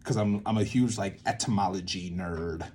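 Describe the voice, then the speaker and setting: Guy with a lowish voice teaching casually, rambles and cuts himself off, some room noise behind him. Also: moderate pace, darkish tone. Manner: rambling, self-interrupting, musing aloud. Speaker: creative methods teacher and playwright. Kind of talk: informal teaching at a whiteboard, thinking aloud.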